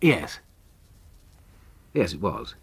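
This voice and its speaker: An actor playing a controlling, ingratiating naturalist in a radio drama, speaking emphatically and politely, with a firm edge. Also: male voice, low voice, huge pitch swings, extremely slow, gravelly, breathy edge, heavily acted. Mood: sombre. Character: excitable naturalist and former schoolmaster, controlling, ingratiating.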